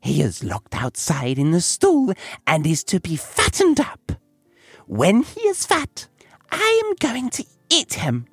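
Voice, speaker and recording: A man's voice: sits high, wildly swooping pitch, takes it slowly, slightly rough, no echo, soft background music, pitched above the performer's own voice, heavily acted. A man voicing a cruel, greedy, impatient old witch woman who scolds and gives orders in a storybook tale. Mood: gloating. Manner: scolding, commanding.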